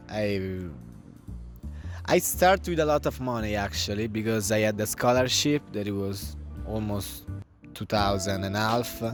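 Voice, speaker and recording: Candid young Italian man over music. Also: on the low side. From Italy. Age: young adult. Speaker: exchange student.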